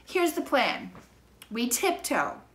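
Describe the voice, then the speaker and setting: A cautious young storybook boy acted out by a woman, anxious and urgent, often hushed. His voice sits raised over some clear background noise. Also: on the high side, sing-song, slow pace, voice pushed up, exaggerated voice. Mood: insistent.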